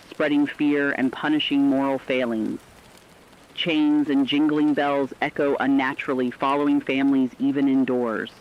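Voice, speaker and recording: A man's voice: medium pitch, close to an everyday voice. Dull lo-fi broadcast, foreboding man as radio reporter. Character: solemn radio field reporter relaying eerie eyewitness accounts. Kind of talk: scripted horror radio broadcast narration.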